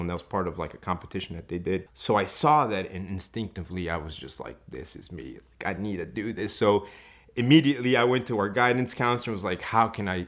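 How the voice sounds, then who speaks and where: A man in his thirties with a fairly low voice, recounting his own story as a guest on a show. He talks with eager energy, leaning on "you know" and "like" and restarting his phrases as he goes. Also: a bit bright. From Ecuador. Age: 30s. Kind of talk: telling his life story to a host.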